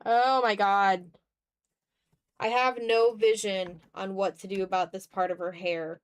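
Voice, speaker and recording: Woman streamer doing art, mid pitch voice, casual rambly thinking out loud, kinda self-deprecating. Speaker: art livestream host. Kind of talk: casual chat while drawing on a livestream.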